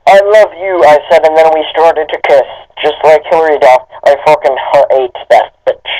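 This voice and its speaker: Young man, higher voice, amateur reader, mocking dramatic reading, stumbling delivery, casual profane asides. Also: darkish tone, poor audio.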